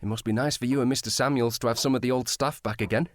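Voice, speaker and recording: A man's voice: medium pitch, steady tone, slight background noise, close to an everyday voice. Man acting a reserved, formal police sergeant. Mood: polite, guarded. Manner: formal, measured, courteous. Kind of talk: period audio drama acting.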